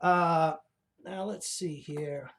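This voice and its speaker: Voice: medium pitch, steady tone, extremely slow. A performer voicing a cranky, self-important creature who poses as a professor and hosts a comedy show in a hesitant, stammering ramble. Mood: grumbling, distracted. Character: cranky fake-professor creature puppet host with claws, rambling, grandiose. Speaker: show host. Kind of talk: improvised in-character comedy monologue.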